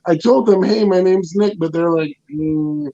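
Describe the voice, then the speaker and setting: A man tells a rambling stand-up story over a video call, loud and poking fun at himself. His voice sits fairly high. It comes through a home call mic. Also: big pitch swings, unhurried, vocal fry, no room sound, highs cut off. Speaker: stand-up comic in residence. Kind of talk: stand-up set over a stream.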